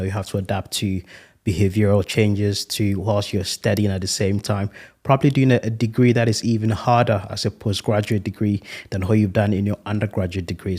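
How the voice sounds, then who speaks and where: A man in his thirties, originally from Ghana, speaks as an interview guest. His voice sits fairly low, and he talks in a measured, earnest way, pausing with the odd "um" as he thinks through each answer. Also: a little mellow. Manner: earnest, reflective, measured with fillers. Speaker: guest, restaurant franchise owner. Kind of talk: podcast interview.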